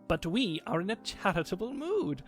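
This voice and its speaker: A man's voice: sits high, sing-song, moderate pace, faint music behind. A man playing a smug, simpering bishop in a fantasy audio drama, pompous and condescending. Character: smug, simpering male bishop, scheming council leader.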